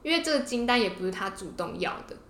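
A woman's voice: on the high side, sing-song, fast talker, a bit bright, a little hiss or hum. A woman with a Taiwanese Mandarin accent hosting a podcast, telling and explaining a story in a casual way. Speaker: podcast host. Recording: roomy, echoing podcast room.

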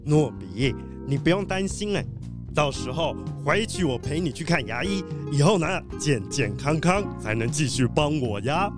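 A man's voice: on the high side, moderate pace, over music. A man playing a kindly old master who fusses and stammers with concern in a children's detective radio drama. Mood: relieved, caring. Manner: excitable, solicitous, stammering with concern. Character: kindly, fussing old gentleman treasure collector.

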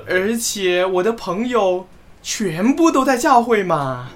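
A woman playing an eager, naive and boastful churchgoer in a radio drama, speaking in a showy, drawn-out way. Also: medium pitch, very animated. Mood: sheepish, confiding. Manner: showy, drawn-out, singsong counting off. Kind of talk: scripted Mandarin radio drama acting.

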